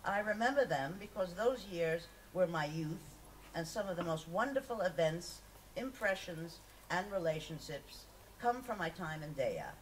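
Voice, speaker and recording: A woman's voice: fairly low pitch, animated, measured pace. A woman reading a memoir aloud to an audience at a live event. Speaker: reader at a poetry festival. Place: live festival reading, picked up from a distance. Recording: distant mic, noisy live event recording.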